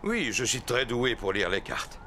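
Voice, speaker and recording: A man in a French cartoon dub, playing a jovial daddy pig who talks with unhurried, pompous confidence. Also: medium pitch, very animated, heavy background noise. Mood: jovial, confident. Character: jovial, self-assured daddy pig, middle-sized family father.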